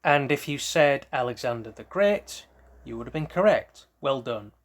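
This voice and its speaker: A man's voice: mid-range voice, animated, moderate pace. A man hosting a casual trivia quiz, reading out questions and then rambling loosely through hesitant asides about the answers. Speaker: quiz host.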